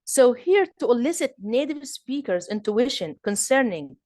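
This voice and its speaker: A woman's voice: fairly high pitch, very animated, moderate pace, a little mellow, no echo, studio quality. A woman from southern Jordan with a Jordanian Arabic accent, giving an academic lecture in a hesitant way, with many fillers and restarts. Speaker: linguistics lecturer.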